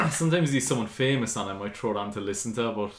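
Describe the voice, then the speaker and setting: A man's voice: fairly low pitch, lively intonation, quick pace. A young Irish man with a posh Dublin accent, a podcast guest trading dry, joking remarks with occasional swearing. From Ireland. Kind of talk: casual chat. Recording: echoey room.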